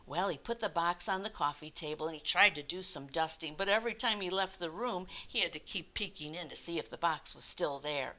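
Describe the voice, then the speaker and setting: Bright, expressive woman storyteller. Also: lowish voice. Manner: animated, deliberate emphasis. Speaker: children's storyteller. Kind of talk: expressive picture-book read-aloud.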